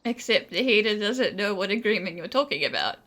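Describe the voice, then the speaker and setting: Very bright-voiced woman gaming commentator. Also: mid-range voice, expressive, a little fast, slight background noise. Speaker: gaming video host. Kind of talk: casual playthrough commentary.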